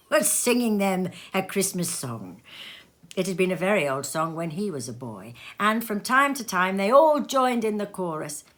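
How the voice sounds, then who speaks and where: A woman reading literary fiction aloud as a storyteller, with an expressive, theatrical delivery that moves between hushed and emphatic. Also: mid-range voice. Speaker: storybook reader. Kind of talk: dramatic literary narration, scripted. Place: sitting room at home.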